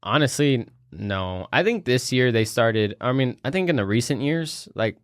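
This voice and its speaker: A young man co-hosting a podcast, chatting casually and joking, with lots of fillers, his pitch jumping up high when he quotes people. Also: animated, moderate pace, no room sound, studio quality. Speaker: podcast co-host. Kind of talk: casual chat.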